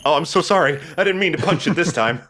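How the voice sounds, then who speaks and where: A man's voice: on the high side, animated, quick pace, a bit bright. A man hosting a video game let's play, chatting casually in a jokey, rambling, profane way. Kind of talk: casual gameplay commentary with co-commentary banter.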